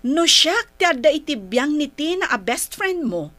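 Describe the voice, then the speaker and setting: An actress with an Ilocano accent playing a concerned older sister figure in a radio drama, questioning and admonishing frankly. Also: female voice, high voice, very animated, moderate pace, a bit bright. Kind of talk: Filipino radio drama acting.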